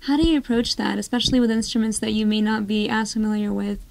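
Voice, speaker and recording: A woman interviewer poses careful questions to a composer, often rephrasing and circling back mid-sentence. Her voice is a little high with a gravelly, fry-heavy texture. She keeps a flat, even intonation throughout. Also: fast talker.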